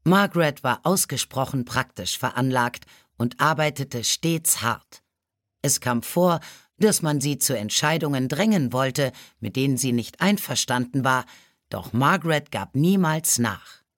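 Measured narrator with a dry studio sound. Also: mid-range voice, lively intonation, a bit bright, no echo. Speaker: audiobook narrator. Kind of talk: scripted storybook biography narration.

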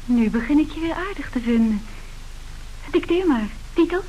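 Cool headstrong young lady, highish voice, old hissy radio play. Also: animated, mellow, rough recording. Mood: wry, teasing. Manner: wry, composed, quick to retort. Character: cool, strong-willed young daughter of a wealthy family.

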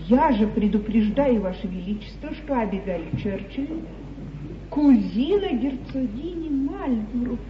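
A woman's voice: high voice, reverberant. An actress playing a fretful yet imperious queen in a theatrical, declamatory mid-century stage comedy style. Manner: grand, declamatory, plaintive. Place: large theatre stage, echoing. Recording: muffled, hissy 1950s theatre broadcast, distant.

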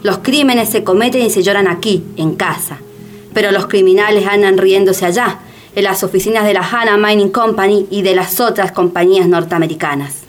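A woman's voice: medium pitch, moderate pace, soft background music. A woman giving dramatic scripted narration as a radio theatre narrator.

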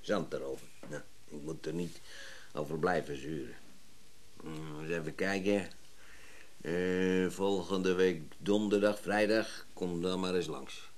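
A man's voice: low-pitched, steady tone, measured pace. An actor playing a grumpy, stubborn older tradesman in a Dutch radio drama, blunt and sardonic.

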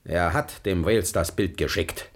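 An actor playing an irate, tyrannical old king who barks commands and broods in low mutters, in a 1970s radio drama. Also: moderate pace. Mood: commanding.

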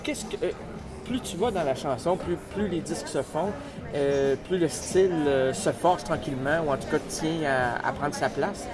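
A man's voice: fairly high pitch, normal speed, a crowd in the background. A middle-aged man with a Quebec French accent hosting a radio interview, asking long rambling questions with fillers. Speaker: radio show host, interviewer. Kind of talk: casual interview.

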